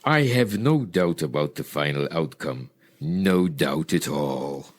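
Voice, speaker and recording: A man playing a smug, pragmatic high priest with dismissive, deliberate delivery in old-time radio style. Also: lively intonation, full character voice.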